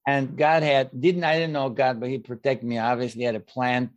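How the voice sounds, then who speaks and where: An older man from Bulgaria with a Bulgarian accent, a guest minister telling his story as a lively storyteller with dry humor and a few grammar slips. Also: mid-range voice, fairly quick, muffled recording. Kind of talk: interview storytelling.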